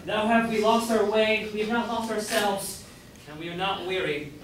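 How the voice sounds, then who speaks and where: A man's voice: sits high, steady tone, normal speed, a bit bright. A young man, a student, playing an ardent, brooding lover in verse in an amateur staged reading. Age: young adult. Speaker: student actor. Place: college room, staged reading. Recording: very echoey room, far off, faint noise.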